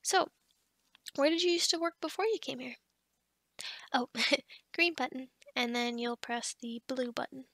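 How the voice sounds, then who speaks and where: Woman playing a friendly scatterbrained female boss, high-pitched, chatty, encouraging, ASMR roleplay, dry close sound. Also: steady tone, measured pace, long pauses, a bit bright, no echo. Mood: helpful, chatty. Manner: bubbly, chatty, with giggles and hesitations. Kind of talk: ASMR roleplay acting, conversational.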